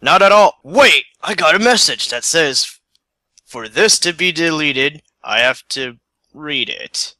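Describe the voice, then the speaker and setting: A man's voice: fairly high pitch, lively intonation, slow, pushed and bright, no room sound, voice pushed up, exaggerated voice. A man playing an over-dramatic, exasperated secret operative in a video game parody. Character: loud, dramatic male secret operative on a mission.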